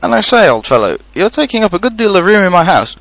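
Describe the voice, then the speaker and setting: Brisk, prim rabbit for a storybook male reader, high and lively, matter-of-fact and stern. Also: gravelly, dry recording, exaggerated voice. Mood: cheerful. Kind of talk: storybook character reading.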